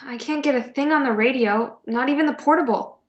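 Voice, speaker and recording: A woman's voice: high-pitched, fairly quick, close to an everyday voice. An actress playing a worried suburban neighbour woman in a radio play. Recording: dull, echoey home recording.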